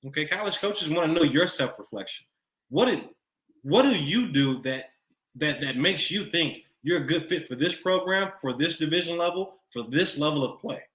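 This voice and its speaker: An American man with a bright, forceful voice in a roomy, echoing space. He coaches the listener like an expert advisor, stressing key points and repeating them for emphasis. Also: on the high side, lively intonation, normal speed. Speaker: college recruiting expert. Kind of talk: advice to camera for students.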